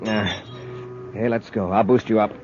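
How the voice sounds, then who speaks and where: An actor playing a calm, authoritative space patrol commander in 1950s radio adventure style, on a muffled old recording. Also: male voice, fairly low pitch, normal speed, over loud music, close to an everyday voice. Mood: calm, urgent. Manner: steady, authoritative.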